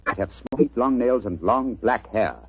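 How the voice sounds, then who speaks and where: A brisk British detective, shrewd and urbane, with a very husky voice that swings in pitch. He speaks in commanding old radio-play style on a dull recording. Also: animated. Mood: intent. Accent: British English. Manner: incisive, clipped, urbane. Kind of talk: 1940s radio drama acting.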